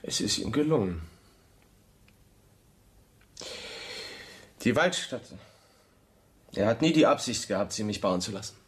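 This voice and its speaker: An actor playing an honest, self-doubting young architect in a radio drama, speaking in a halting, pleading way. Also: male voice, lowish voice, animated, natural voice. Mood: bitter resignation. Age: young adult. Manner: halting, repeats phrases, pleading. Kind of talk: German radio play acting, intimate dialogue.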